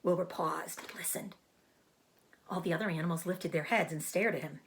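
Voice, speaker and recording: A woman reading a children's book aloud like a teacher, narrating expressively and clearly for young listeners. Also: on the low side, moderate pace. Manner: expressive, explains hard words. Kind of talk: storybook read-aloud narration.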